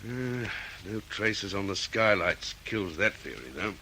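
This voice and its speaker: An actor playing a methodical, questioning police detective in an Australian radio drama, with an Australian accent. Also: male voice, lowish voice, expressive, pushed and bright, slight background noise, exaggerated voice. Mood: reasoning. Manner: deliberate, questioning.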